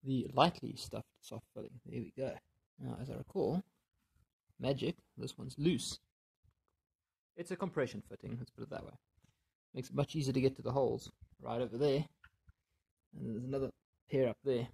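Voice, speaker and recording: A man giving casual hands-on DIY commentary, muttering and thinking aloud as he works, with a gravelly, slightly husky voice. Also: mid-range voice, normal speed, darkish tone. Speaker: DIY video maker. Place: indoors in a home room.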